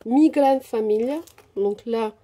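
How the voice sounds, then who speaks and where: A woman chats her way through a haul of craft supplies, pausing often on fillers as she thinks. Her voice is warm and mellow with a husky edge, sitting a bit high for a woman. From Portuguese descent. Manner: chatty, hesitant, many fillers. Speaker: craft vlogger. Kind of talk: casual product haul narration. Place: indoors at a craft table. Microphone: camera over table, hands only.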